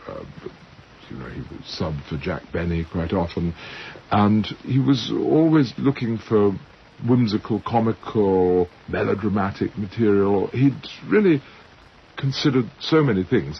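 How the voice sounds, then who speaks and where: A man giving reflective interview commentary in a halting, thoughtful way, searching for words. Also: low voice, creaky. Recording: dull, slightly noisy interview recording.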